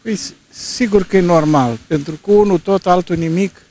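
A man's voice: high-pitched, darkish tone, a little hiss or hum. An elderly man giving terse, blunt opinions in a street interview into a handheld microphone. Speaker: passer-by interviewee. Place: outdoors on a snowy street. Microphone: reporter's handheld microphone.

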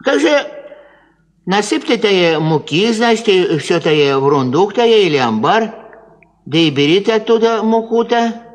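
An actor playing a pious, simple old peasant who stammers and stumbles over filler words in a 1950s Soviet radio play. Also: male voice, high-pitched, big pitch swings. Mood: doubting. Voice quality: halting, tongue-tied, full of filler words. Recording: 1950s radio recording, long reverb.

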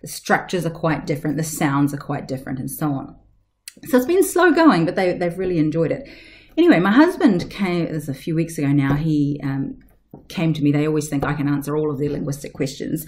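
Woman in her forties, low deep voice, chatty podcast teacher, webcam at home. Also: a little fast. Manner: chatty, animated, digressive, with many fillers. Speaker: podcast host, language teacher. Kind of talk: casual explanatory podcast talk. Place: living room at home. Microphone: webcam at desk.

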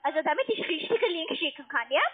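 Very high-pitched female phone agent. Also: expressive, fast talker. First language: not German. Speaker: call centre sales agent. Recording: tinny phone line.

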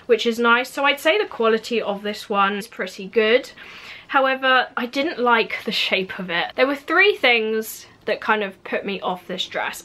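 A woman's voice: fairly high pitch, bright, forceful tone. A young woman in her twenties, just over a cold, chatting casually through a clothing haul review in her bedroom, with many "like" fillers. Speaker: fashion vlogger. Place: bedroom at home, indoors.

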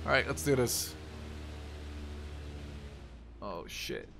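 A young man giving casual, profanity-laden gameplay commentary, excitable and often talking to himself as he plays. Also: mid-range voice, drawn-out words, vocal fry, a little hiss or hum. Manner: excitable, swears freely, talks to himself. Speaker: gaming YouTuber. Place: small room at home.

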